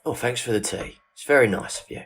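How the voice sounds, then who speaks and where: A man's voice: mid-range voice, a little fast, a bit bright, close to an everyday voice. A man playing a friendly, plain-spoken pub landlord in an audio drama, informal and matey, with a worrier's streak. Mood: uneasy. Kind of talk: audio drama acting with narrated reflections. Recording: voice over prominent background music.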